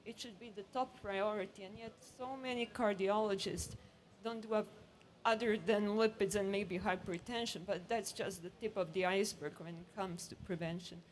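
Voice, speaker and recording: A woman's voice: medium pitch, fairly even intonation, normal speed, poor audio. A woman from Croatia with a Croatian accent, giving an earnest, emphatic comment from the floor at a conference. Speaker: audience member, hospital professional. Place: conference session, speaking from audience. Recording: live event with clear background noise.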